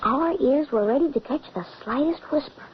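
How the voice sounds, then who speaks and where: A woman's voice: high-pitched, moderate pace, close to an everyday voice. An actress playing a frightened young girl who also narrates the story, earnest and anxious, in old radio drama acting. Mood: narrating. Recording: dull old radio recording with hiss.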